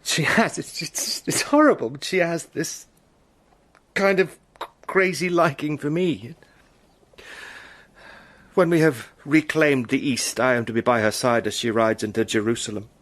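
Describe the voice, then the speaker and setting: A man's voice: medium pitch, unhurried, pauses often, a bit bright, close to an everyday voice. A man playing a haunted, anguished adventurer. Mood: troubled. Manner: intense, stammering under strain. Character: Sandy, haunted British adventurer in disguise, anguished. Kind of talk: radio drama acting.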